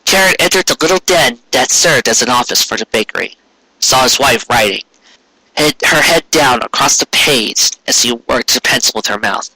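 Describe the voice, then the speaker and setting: A man reading a story aloud as an expressive narrator. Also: medium pitch, normal speed, breathy edge, no echo, poor audio. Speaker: narrator, fan fiction reader.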